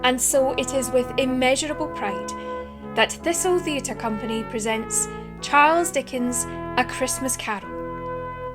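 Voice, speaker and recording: A woman reading a scripted, formal introduction to a radio play in a measured way. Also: sits high. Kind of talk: scripted narration. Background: loud brass music.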